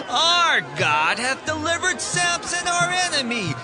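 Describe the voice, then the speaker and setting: An actor playing a loud, triumphant Philistine reveller in an audio Bible drama, exultant and drawn-out. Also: male voice, very high voice, very animated, full character voice. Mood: gloating. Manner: exultant, drawn-out shouting delivery. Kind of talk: dramatized audio Bible acting in archaic English. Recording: crowd and music behind the voice.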